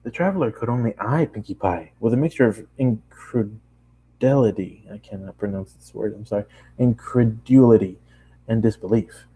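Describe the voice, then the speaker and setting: Soft, husky fan fiction narrator. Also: mid-range voice, fairly quick, low-quality recording. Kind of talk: storybook narration with casual asides.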